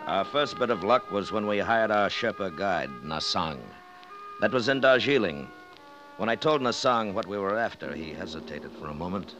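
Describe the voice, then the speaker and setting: Music plays prominently around a man acting a resolute expedition leader in vintage radio drama. The voice is very low, with dramatic, deliberate delivery suited to adventure narration. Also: lively intonation, dull audio, close to an everyday voice. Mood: resolute, businesslike.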